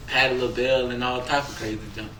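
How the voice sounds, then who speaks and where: Young rapper in a noisy, echoey room. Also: male voice, medium pitch, steady tone, quick pace. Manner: slangy, repeats phrases. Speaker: rapper being interviewed. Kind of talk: casual chat, telling stories. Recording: roomy, distant mic, loud background noise.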